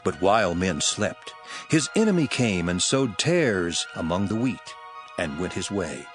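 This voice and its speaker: An actor playing Jesus as an earnest preacher teaching parables in a dramatized scripture reading, solemn and declamatory, with music under the voice. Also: male voice, deep voice, big pitch swings. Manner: solemn, declamatory, measured phrasing. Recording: loud music bed under the voice.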